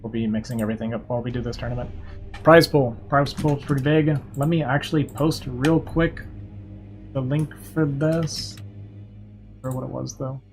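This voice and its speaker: A man's voice: medium pitch, steady tone, normal speed, faint music behind. A young man streaming from his computer, chatting casually and rambling with lots of fillers, his voice worn out from talking. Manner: rambling, filler-heavy, upbeat. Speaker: streamer and caster. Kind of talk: casual livestream chat.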